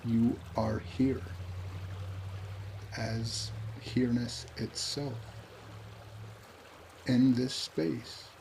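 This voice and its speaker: A man leading a guided meditation in a calm, unhurried way, with pauses between short phrases. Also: medium pitch, vocal fry, a little hiss or hum.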